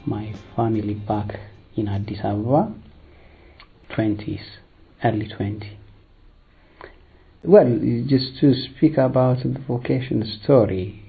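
A priest, telling his vocation story in a hesitant, unhurried way with many fillers. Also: lowish voice, creaky, phone-quality sound. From Eritrea, raised in Ethiopia. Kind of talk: personal vocation testimony.

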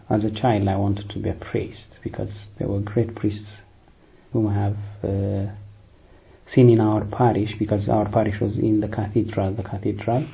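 A priest, telling his vocation story in a hesitant, unhurried way with many fillers. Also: lowish voice, vocal fry, phone-quality sound. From Eritrea, raised in Ethiopia. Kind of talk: personal vocation testimony.

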